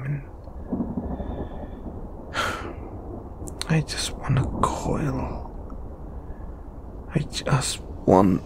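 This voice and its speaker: Flustered British lamia guy, swooping pitch, acted by a man. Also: gravelly, exaggerated voice. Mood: wistful, mumbling. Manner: stammering, hesitant, sighing. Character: shy, flustered male lamia flatmate, longing to cuddle. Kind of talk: intimate audio roleplay.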